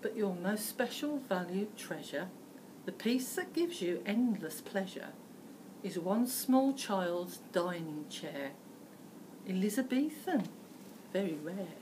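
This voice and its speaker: British lady reading a rhyming kids story, slow and super expressive, bit of room noise. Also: medium pitch. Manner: theatrical, emphatic, deliberate phrasing. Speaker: teacher reading aloud for children. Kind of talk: dramatic rhyming storybook narration.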